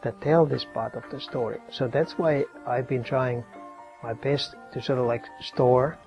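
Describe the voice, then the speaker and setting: A man from Finland, a professor calmly explaining and demonstrating his collection in his office in an interview. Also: medium pitch, unhurried, lo-fi sound, faint music behind. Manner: calm, explanatory, demonstrating objects as he talks.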